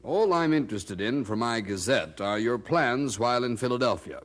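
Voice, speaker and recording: A man's voice: medium pitch, animated, normal speed, a bit bright, lightly acted. An actor playing a polite, inquisitive colonial newspaperman in a radio drama, courteous and unassuming. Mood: inquiring.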